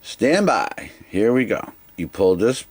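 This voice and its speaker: Man, fairly deep voice, casual hands-on demo, tentative, thinking aloud, unscripted. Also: very animated, takes it slowly, slightly rough, no room sound. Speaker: demonstrator of vintage video equipment. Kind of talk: casual off-the-cuff demonstration.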